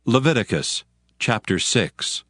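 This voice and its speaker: A man's voice: medium pitch, big pitch swings, measured pace, fairly bright tone. A man giving solemn, scripted scripture narration as an announcer. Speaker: narrator announcing chapters and speakers.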